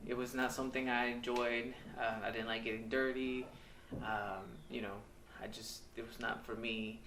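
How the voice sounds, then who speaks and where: A man in his thirties from Mexico, telling his life story in a candid, hesitant way with many pauses and ums. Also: medium pitch, level tone, sharp tone, slight background noise. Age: 30s. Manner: hesitant, halting, with frequent ums and uhs. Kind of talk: candid personal testimonial. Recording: echoey room, mic at a distance.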